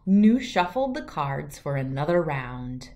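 Animated woman reading a storybook. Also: low-pitched, a little slow. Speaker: children's read-aloud host. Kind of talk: storybook narration for children.

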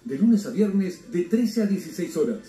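A man's voice: sits high, big pitch swings, moderate pace, mellow, reverberant, faint music behind, rough recording. A man voicing a scripted station promo with punchy, emphatic phrasing.

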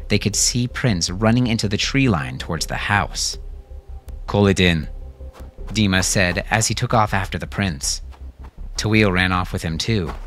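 A man's voice: low voice, fairly bright tone. A man narrating a scripted fiction story as a dramatic audiobook reader.

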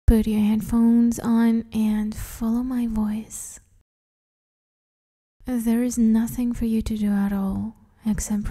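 A woman guiding a meditation in a soft, breathy half-whisper, soothing and gently instructing the listener. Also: fairly high pitch. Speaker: meditation guide, host. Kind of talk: guided meditation, hypnosis-like.

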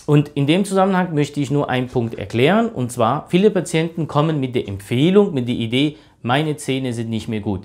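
A man around forty speaks as a dentist in a medium-pitched voice. He explains things informally and reassures the listener, speaking into a lapel mic in a small clinic room. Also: darkish tone. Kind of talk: informal explanation and patient consultation.

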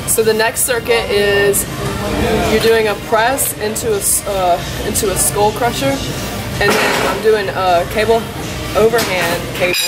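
A woman's voice: medium pitch, poor audio. A woman in her twenties with an American accent, chatting informally and using slang as she vlogs about workouts. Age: 20s. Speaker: fitness vlogger. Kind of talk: casual vlog chat explaining workouts. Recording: loud music behind the voice. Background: music.

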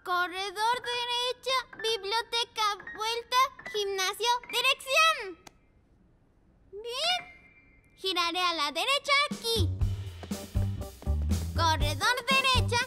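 A woman's voice: very high voice, expressive, slow, pauses often, big-room sound, over loud music, heavily acted. A woman voicing an eager, sweet little girl pug puppy in a children's cartoon, childlike and carefully spoken. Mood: determined, bright. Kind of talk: children's cartoon dub acting.

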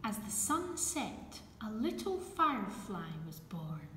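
Casting: female storyteller, unhurried and gentle, slightly high voice, reading for small children in a reverberant room. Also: sing-song. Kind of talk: reading a children's picture book aloud. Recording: echoey room with background noise.

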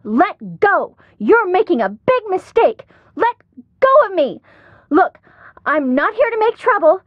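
An American actress playing a perky, curious, chatty young woman amateur sleuth in a video game, with an expressive, girlish manner. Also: very high-pitched, measured pace, no room sound, muffled recording, exaggerated voice. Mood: protesting. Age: young adult. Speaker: voice actor. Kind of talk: video game character dialogue.

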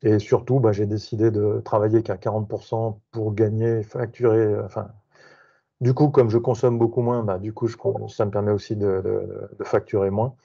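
A man's voice: fairly low pitch, level tone, normal speed, vocal fry, mellow, husky. A man introducing himself on a video call, hesitant with many fillers and restarts. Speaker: association member introducing himself. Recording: dull video call audio.

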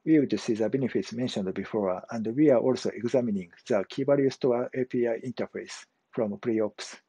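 A man, giving a measured, prepared testimonial to camera over a video call. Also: mid-range voice, mellow, breathy edge, dry recording. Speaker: customer engineer giving a testimonial. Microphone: webcam video call framing.

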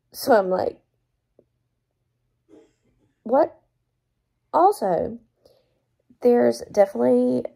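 Hoarse, gravelly-voiced young woman book vlogger. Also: mid-range voice, warm tone. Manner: exasperated, emphatic, chatty. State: hoarse, losing her voice. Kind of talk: casual book review vlog. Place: small room at home, indoors. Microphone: phone front camera at arm's length.

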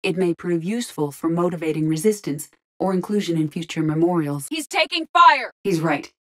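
The ship's composed android crewmate in a sci-fi shooter, briefing the squad in a lowish female voice, formal, exact and analytical. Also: mellow. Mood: calm, analytical. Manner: precise, formal, measured diction. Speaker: game character, squad companion. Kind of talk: video game voice lines, sci-fi acting.

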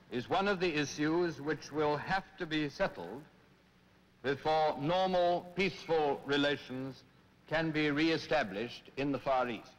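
A man with a British accent giving a formal political speech as a delegate, in deliberate phrases. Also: on the high side. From United Kingdom. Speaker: government delegate. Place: large assembly hall podium. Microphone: podium microphones. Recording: reverberant hall, 1950s newsreel.

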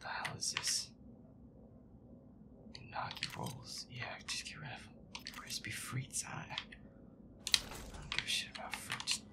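A man voicing a feisty, grumpy young werewolf boyfriend in a gameplay roleplay, muttering irritably and swearing to himself in a breathy voice. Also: measured pace, pauses often, whispered, lightly acted. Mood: irritated, grumbling. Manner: foul-mouthed, irritable muttering with nervous outbursts.

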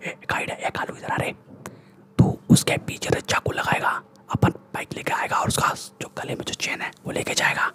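A young man playing a swaggering, bullying Mumbai gangster in a breathy ASMR whisper, with slangy, boastful Mumbai Hindi speech, close to the microphone. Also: moderate pace, close to an everyday voice. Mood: scheming. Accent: Mumbai Hindi street slang. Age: young adult. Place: small room indoors. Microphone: close desktop condenser microphone.

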